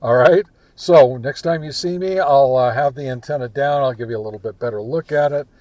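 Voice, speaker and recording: A man giving a casual, informal product review and field test, talking in a halting, conversational way. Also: mid-range voice, darkish tone. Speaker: ham radio reviewer and vlog host.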